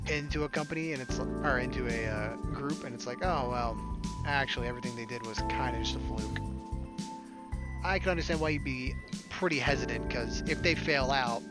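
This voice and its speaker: A man's voice: mid-range voice, fairly quick. A man hosting a game playthrough, reading a visual novel aloud and dropping in casual, offhand commentary. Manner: switches between read dialogue and offhand asides. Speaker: gaming video host. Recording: loud game music behind the voice. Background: game music.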